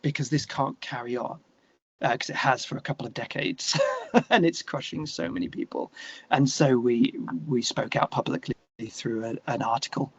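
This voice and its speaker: A man with an English accent talking candidly and reflectively as an interview guest, searching for his words in a halting way. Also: medium pitch, slightly rough, no room sound, lo-fi sound.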